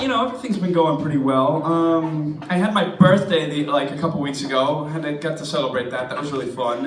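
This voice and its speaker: A man in his twenties with an American accent, hoarse from a cold, doing a rambling, self-mocking stand-up set in a bar. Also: on the high side, noisy background. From United States. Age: 20s. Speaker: stand-up comedian. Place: comedy club stage in a bar. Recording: echoey room recorded from a distance.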